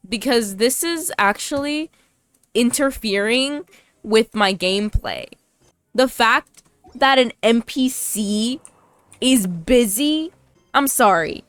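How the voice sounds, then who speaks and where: Clear-voiced young woman gaming commentator. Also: on the high side, no echo. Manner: exasperated, blunt, joking. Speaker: gaming YouTuber. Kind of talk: casual playthrough commentary.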